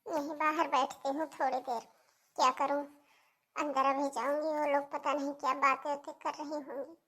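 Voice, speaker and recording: A woman's voice: high voice, level tone, normal speed, bright, forceful tone. A woman voicing a meek, sorrowful young widow in a melodramatic cartoon story, speaking in a plaintive way. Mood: weary. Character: sorrowful, put-upon young widow in her brother's home. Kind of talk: melodramatic Hindi cartoon acting.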